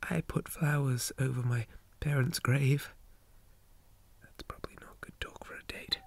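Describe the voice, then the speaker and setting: A man playing a shy, polite zombie boy in an ASMR roleplay, speaking softly, often in whispers, hesitant and flustered with old-fashioned manners. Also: mid-range voice, fairly even intonation, measured pace, dry recording. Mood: shy, tender. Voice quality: soft, breathy, often whispered.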